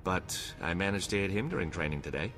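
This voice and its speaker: An actor playing an earnest, eager young apprentice warrior in a fantasy video game, with a boyish manner. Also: male voice, sits low, expressive, fast, a bit bright, soft background music. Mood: eager, proud.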